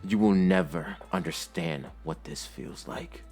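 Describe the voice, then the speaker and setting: A man's voice: deep voice, unhurried, darkish tone, breathy edge, soft background music. A man voicing a hot-headed, bitter young ninja rival in an anime-style story, intense and emphatic.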